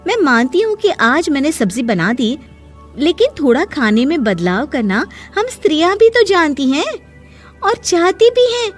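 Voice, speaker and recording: A woman playing a sharp-tongued, defiant young daughter-in-law in a cartoon story, arguing with exaggerated indignation. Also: high voice, very animated, normal speed, soft background music, voice pushed up. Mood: indignant. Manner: argumentative, exaggerated indignant delivery.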